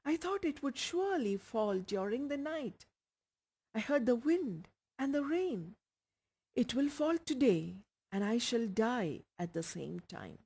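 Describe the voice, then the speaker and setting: A woman voicing a sick young woman in a storybook, quiet, weak and wistful. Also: on the high side, very animated, measured pace, vocal fry, breathy edge, pitched above the performer's own voice, exaggerated voice. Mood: fatalistic, weak.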